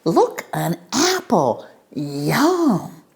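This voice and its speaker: A woman's voice: fairly low pitch, huge pitch swings, extremely slow, creaky, a little hiss or hum, voice pushed up, full character voice. A woman voicing a greedy boy bug who is the class bully in a storybook, gloating and drawing out words.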